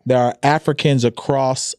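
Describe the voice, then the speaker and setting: A man's voice: mid-range voice, steady tone, normal speed, a little mellow, clean tone, no echo, clean studio sound. An American man co-hosting a podcast, chatting casually in slang with a teasing manner. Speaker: podcast co-host. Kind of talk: casual banter.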